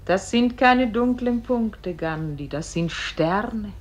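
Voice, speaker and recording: Solemn spirit lady guiding a soul, slow drawn-out phrases, poetic radio play. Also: mid-range voice, expressive. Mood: urging, exalted.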